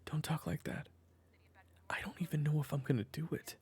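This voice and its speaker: A man's voice: a little high, steady tone, a little fast, darkish tone, natural voice. A man playing a weary, protective family man with a criminal past in an audio drama, both narrating and speaking in a hesitant way. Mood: conflicted, reassuring. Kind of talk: audio roleplay acting with first-person narration.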